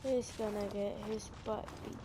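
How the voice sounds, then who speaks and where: A woman's voice: medium pitch, fairly even intonation, unhurried, very noisy. A woman giving casual, offhand commentary on a game she is playing, dry and sceptical in manner. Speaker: gamer commenting on a playthrough.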